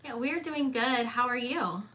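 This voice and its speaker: A woman's voice: a little high, unhurried, sharp tone, rough recording. A woman in her thirties co-hosting a cruise livestream, chatting casually with her friend and teasing her with frequent laughter. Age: 30s.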